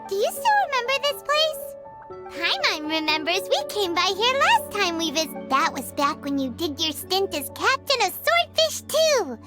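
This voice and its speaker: A cheeky little fairy companion for a video game. The voice is childlike and extremely high, leaping all over in pitch with curious exclamations, over loud music. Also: female voice, very animated, normal speed. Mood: curious, musing. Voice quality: squeaky, childlike. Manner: refers to self in third person, exclaiming. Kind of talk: video game character dialogue.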